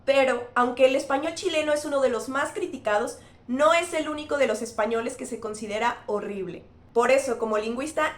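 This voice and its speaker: A woman linguist hosting a scripted educational explainer, speaking clearly and spelling out examples. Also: fairly high pitch, echoey room. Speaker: linguist and channel host.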